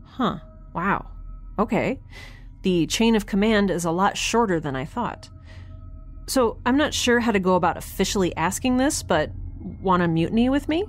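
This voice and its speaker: An impulsive young heroine of a sci-fi audio drama, acted by a woman. She rambles in a chatty, wry way, mid-pitched, with music beneath her. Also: animated, normal speed, lightly acted. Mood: eager, wry. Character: quirky, excitable, impulsive young woman in space.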